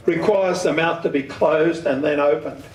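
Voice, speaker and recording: An older man giving an instructional talk, deliberate and emphatic, putting questions to his listeners. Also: a little high, fairly even intonation. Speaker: speaker giving an amateur radio talk. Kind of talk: instructional lecture with questions to the audience. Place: community centre meeting room. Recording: echoey hall, distant microphone.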